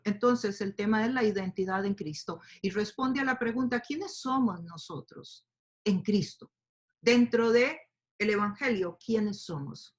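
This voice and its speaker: A woman teaching a Bible study to a group, speaking warmly and explaining with fillers and questions. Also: mid-range voice. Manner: speaks to a group, asks them to open their Bibles, many fillers like "eh" and "este". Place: lives in the United States.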